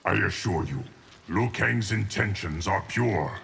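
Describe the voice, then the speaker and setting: An actor playing a grave, steadfast guardian of time in a fighting game, speaking in a measured, formal way. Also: male voice, sits low, lively intonation, gravelly, heavy background noise, heavily acted. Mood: solemn, assured. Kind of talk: scripted fighting game dialogue.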